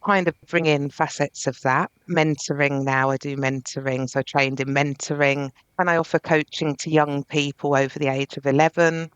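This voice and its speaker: A woman's voice: low voice, fairly even intonation, moderate pace, few pauses, clean tone, dry recording. A woman therapist speaking earnestly and reflectively as a podcast guest. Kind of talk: podcast interview.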